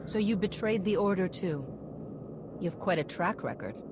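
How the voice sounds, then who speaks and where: Woman acting a low-voiced, steadfast commander. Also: expressive, normal speed, background noise, natural voice. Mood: determined, challenging. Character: steadfast, bold female commander. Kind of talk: scripted video game cutscene acting.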